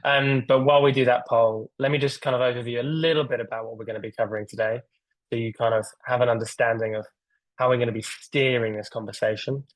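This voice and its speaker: A man hosts a webinar over a video call, chatting casually and enthusiastically with plenty of fillers and restarts. His voice sits at a middle pitch and sounds dry and close. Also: fairly even intonation, fast, no echo, rough recording. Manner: rambling, filler-heavy, enthusiastic. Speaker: webinar host.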